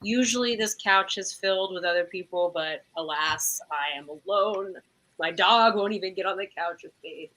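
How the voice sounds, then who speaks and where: A woman's voice: lowish voice, normal speed, fairly bright tone, rough recording. A woman casually and playfully introducing herself as a player in a tabletop game from her couch at home.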